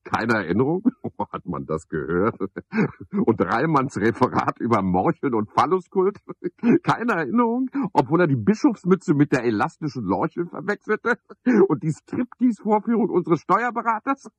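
A man's voice: fairly high pitch, very animated, moderate pace, slightly rough, no echo. An actor playing a self-important, touchy chairman of a mushroom club in a radio play, talking with cajoling pomposity. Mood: animated, insistent. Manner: pompous, cajoling, one-sided conversation. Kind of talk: 1970s German radio play acting. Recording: dull, band-limited 1970s radio recording.

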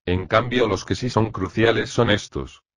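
A man's voice playing a methodical older police forensic doctor, explaining formally and in measured phrases in a crime drama. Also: fairly low pitch, no room sound, close to an everyday voice. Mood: clinical. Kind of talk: scripted machinima crime drama dialogue.

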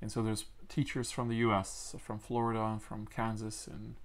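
Hesitant man with a Low German accent. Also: completely flat delivery, normal speed. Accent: Mennonite Low German. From Mexico. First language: Plautdietsch. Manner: hesitant, many fillers and restarts. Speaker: guest. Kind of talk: casual conversational interview. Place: small wood-paneled room indoors.

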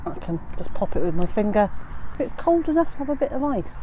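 A woman's voice: a little high, big pitch swings, fairly quick, dull audio, slight background noise. A woman in her fifties, slightly bunged up with a cold, chatting casually to a handheld phone outdoors in a frosty garden, with many "uh" fillers. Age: 50s. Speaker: homesteading vlogger. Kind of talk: casual walk-and-talk vlog. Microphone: handheld phone at arm's length.

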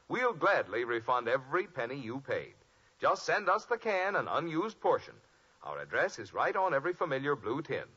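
Husky, animated American radio pitchman. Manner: emphatic, persuasive. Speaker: announcer reading sponsor advertisement. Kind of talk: 1950s radio commercial delivery. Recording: dull vintage radio recording.

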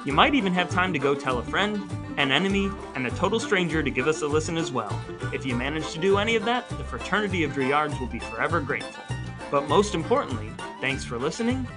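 A man co-hosts a laid-back podcast with his brother and tells stories with a wry, playful touch. His voice sits a bit on the high side. A soft bed of music plays faintly underneath. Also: very animated, quick pace. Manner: wry, playful storytelling, reads outros. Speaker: podcast co-host. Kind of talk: casual chat with scripted segments.

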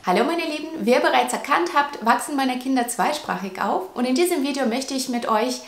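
Woman in her thirties, higher voice, earnest vlogger advice in an echoey living room. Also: expressive, fairly quick. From Russia. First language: Russian. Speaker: parenting vlogger. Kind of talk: talking to camera. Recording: roomy home echo.

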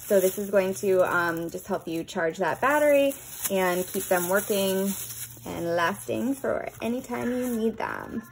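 A woman's voice: mid-range voice, expressive, unhurried, slight background noise, poor audio. A woman giving a casual, chatty product review, explaining features as she goes in an informal unboxing style.

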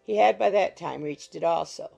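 A woman reading scripted literary narration from a classic novel as an audiobook narrator, in a husky, creaky voice. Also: lowish voice, moderate pace, dark tone, muffled recording. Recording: music behind the voice. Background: music.

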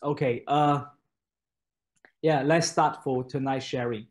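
A man's voice: medium pitch, steady tone, takes it slowly. A man in his thirties, a native Mandarin speaker, presenting a product on a video call from his home study, with many fillers and restarts. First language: Mandarin Chinese. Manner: hesitant, many fillers and restarts, frequent "okay?". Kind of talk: online product webinar. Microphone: webcam on a video call.

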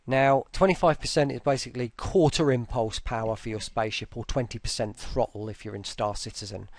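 A man talking through a casual screen-recorded software tutorial, informally, with muttered asides to himself. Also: fairly low pitch, creaky, no room sound.

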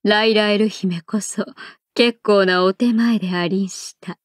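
An actress voicing a refined princess warrior in an anime game, with formal, archaic courtly phrasing. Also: female voice, medium pitch, steady tone, unhurried, close to an everyday voice. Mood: regal, composed. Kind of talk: anime game character acting. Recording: voice over loud game music.

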